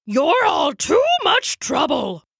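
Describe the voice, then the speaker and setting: A woman voicing a gloating, cruel goblin leader for a storybook, with a gravelly voice and a mocking, drawn-out delivery. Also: high-pitched, big pitch swings, fairly bright tone, dry recording, studio quality, voice pushed up, exaggerated voice. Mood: angry, scolding. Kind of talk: storybook character voice.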